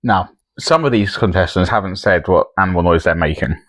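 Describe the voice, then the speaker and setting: A man's voice: sits low, brisk, a bit bright. A man hosting a podcast game show, chatting in casual comic banter.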